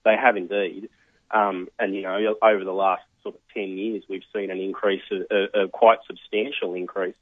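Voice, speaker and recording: Measured Australian man on a phone line. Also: low voice, flat delivery, dry recording. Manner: measured, analytical. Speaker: think tank research fellow, interview guest. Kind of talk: explanatory radio interview. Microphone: telephone. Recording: tinny narrow phone line.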